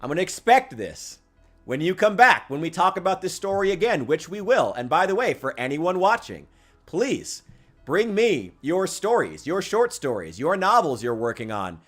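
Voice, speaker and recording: A young man live-streaming as he plays, chatting with viewers in a lively, profane way, sometimes hyped and sometimes muttering, his voice getting tired. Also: moderate pace, faint music behind. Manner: animated, profane, swings between loud hype and low mutters. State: losing his voice. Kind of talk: casual live-stream chat while gaming.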